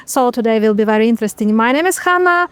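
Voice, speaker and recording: A woman's voice: a little high, animated, fast talker, smooth, no echo. A young woman from Ukraine hosting an interview, speaking English as a with hesitant phrasing and fillers. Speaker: interviewer and channel host.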